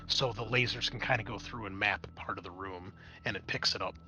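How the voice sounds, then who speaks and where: Bright-voiced man running a tabletop game. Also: fairly low pitch, brisk, rough recording. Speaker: game master. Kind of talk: narrating a tabletop roleplaying game.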